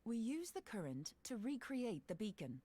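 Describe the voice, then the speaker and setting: A woman's voice: on the high side, big pitch swings, normal speed, dark tone, slightly rough. A woman playing a bold, self-assured scholar in a fantasy video game, explaining things breezily. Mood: keen, explanatory.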